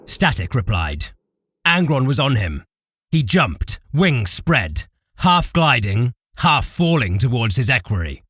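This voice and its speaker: Man, dramatic audio drama narrator, theatrical, animated pitch, dry studio sound. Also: takes it slowly, husky, no room sound.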